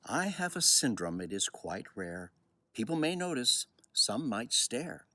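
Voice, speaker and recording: An older man tells a personal story in a husky voice. His pitch swings widely and expressively, with the unhurried delivery of someone reading a picture book aloud to children. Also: big pitch swings, bright, forceful tone.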